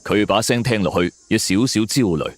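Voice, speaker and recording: A man narrates a suspense story in the first person, in a theatrical, deliberate way. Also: lowish voice, animated, no echo. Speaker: storyteller narrating audio drama. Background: background music.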